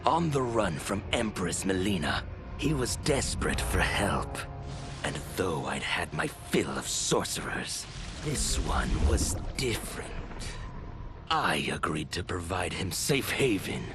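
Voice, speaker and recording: An actor playing a grim, fervent anarchist revolutionary, telling his tale with measured, theatrical intensity in a game cutscene. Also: low-pitched, very animated, full character voice. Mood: grim resolve. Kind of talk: dramatic scripted game cutscene narration. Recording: music behind the voice.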